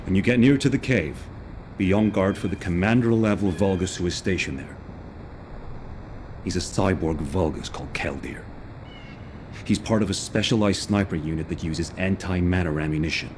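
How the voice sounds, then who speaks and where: An actor playing a grim, vengeful recon soldier in a video game, grave and intense, over noisy rumbling ambience. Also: male voice, moderate pace, darkish tone, close to an everyday voice. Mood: grave briefing. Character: grim, vengeful male recon soldier mourning his men. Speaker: scout squad leader. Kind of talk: video game cutscene acting.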